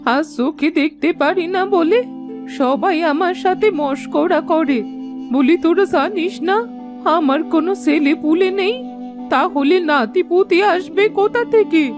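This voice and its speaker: An old cartoon granny with a high, plaintive voice. She scolds and laments at a slow pace, like a wronged villager. Loud music plays behind her. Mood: plaintive, aggrieved. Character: blind old village granny, wailing and aggrieved. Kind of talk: Bengali children's cartoon voice acting.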